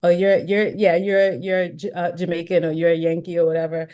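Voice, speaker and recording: An American woman hosting a podcast, talking reflectively and thinking aloud with restarts and quick asides. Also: lowish voice, level tone, warm tone. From United States. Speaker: podcast host. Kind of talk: conversational interview chat.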